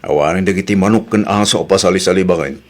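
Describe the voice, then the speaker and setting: A man's voice: low voice, fast talker, slight background noise, close to an everyday voice. A man with an Ilocano Filipino accent playing a bossy, money-minded middle-aged village captain in a comedy audio drama. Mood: scheming. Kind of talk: comedy radio drama acting.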